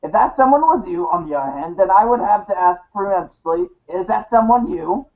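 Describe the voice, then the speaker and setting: A man voicing an aloof, sardonic, pedantic teenage girl in a deadpan, formal manner. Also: high-pitched, brisk, highs cut off. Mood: dry, sardonic.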